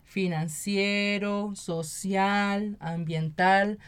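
A woman with a Costa Rican Spanish accent and a medium-pitched voice speaks as an interview guest. She explains in a conversational way and often closes her phrases with a little checking question. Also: fairly even intonation, extremely slow, smooth. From Costa Rica. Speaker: interview guest, local councillor and activist.

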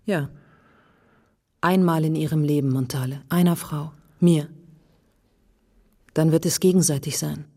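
An actress playing an earnest policewoman in a German radio drama, questioning in a deliberate, intimate and insistent way. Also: sits low, steady tone, warm tone. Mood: pleading, resolute. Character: earnest, probing policewoman challenging a wary man.

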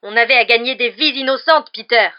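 A woman's voice: medium pitch, fairly even intonation, moderate pace, muffled recording, lightly acted. Woman playing a furious, roaring vengeful man. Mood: bitter fury. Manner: growling, forceful, loud.

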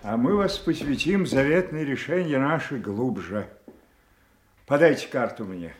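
A man's voice: medium pitch, expressive, a little slow, close to an everyday voice. An actor playing an aged, imperious, weary king in an audio play, delivering solemn commanding verse. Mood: regal, solemn. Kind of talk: theatrical audio play acting. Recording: echoey stage hall, distant from mic.

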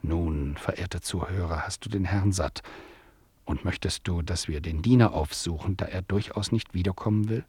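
An actor narrating a radio play as a measured, wry storyteller who addresses the listener. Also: male voice, sits low, darkish tone, breathy edge, dry recording, exaggerated voice. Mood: wry storytelling.

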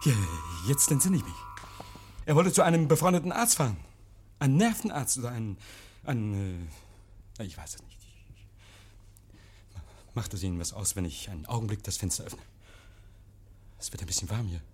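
An actor playing a nervous, guilty man in a 1950s radio play, feigning jovial innocence, stammering and repeating himself, hushed then agitated. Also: mid-range voice, lively intonation, normal speed, long pauses, slight background noise, close to an everyday voice. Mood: evasive, flustered. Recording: vintage 1950s radio recording.

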